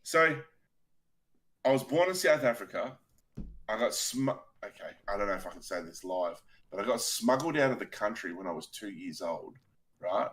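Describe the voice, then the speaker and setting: Easygoing Australian male co-host, lower-pitched, relaxed rambling delivery. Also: normal speed. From Scotland and Australia. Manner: laid-back, rambling storyteller, chuckling. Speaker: podcast co-host. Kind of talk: casual chat.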